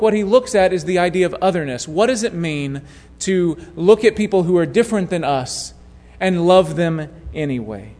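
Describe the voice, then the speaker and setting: A man preaching a sermon, telling a story in a measured way that drops to a hush at key moments. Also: high voice. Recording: long hall reverb.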